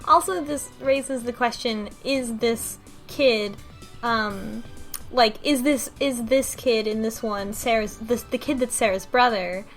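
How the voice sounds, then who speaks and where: Female panelist, slightly high voice, halting and conversational, music playing underneath. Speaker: podcast panelist. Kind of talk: casual discussion of a game's story.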